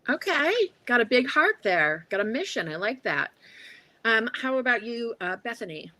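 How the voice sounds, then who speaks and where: A woman's voice: fairly high pitch, big pitch swings, normal speed, pushed and bright, no echo. A middle-aged woman bantering casually as a dating game contestant, playful and full of exclamations.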